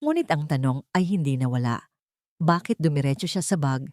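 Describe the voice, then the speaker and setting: A woman's voice: sits low, animated, a little fast, darkish tone, no echo, clean studio sound. A woman narrating a scripted dramatic story.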